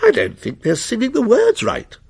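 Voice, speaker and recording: Ghost story son, played by a man: excitable, scared, bossy youngster, raised voice with wide swings. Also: very high voice, moderate pace, vocal fry, husky, pitched above the performer's own voice, heavily acted. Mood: uneasy. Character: excitable frightened boy, bossy, breathless reporting. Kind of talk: dramatic ghost story reading.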